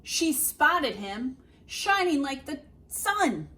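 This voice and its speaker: A woman reading a children's picture book aloud expressively, with dramatic, deliberate phrasing and emphasised words. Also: high voice, very animated, bright, forceful tone. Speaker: read-aloud storyteller.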